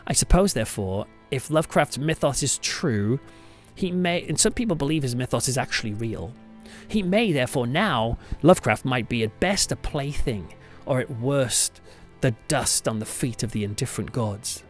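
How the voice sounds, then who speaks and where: A man's voice: mid-range voice, animated, moderate pace. A British man hosting a podcast, giving a reflective monologue in a conversational, thoughtful and emphatic way. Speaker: podcast host, church pastor. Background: music under the voice.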